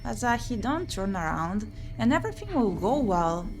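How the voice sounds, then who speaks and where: A woman's voice: medium pitch, sing-song, normal speed. Dramatic woman reading a game over music. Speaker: VTuber streamer playing a visual novel. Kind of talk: expressive read-aloud of visual novel text with playthrough commentary.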